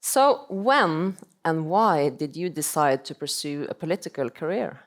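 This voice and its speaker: A young woman moderating a seminar on stage, speaking politely and formally in a measured way. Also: low voice, lively intonation. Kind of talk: formal onstage interviewing. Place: business school seminar stage.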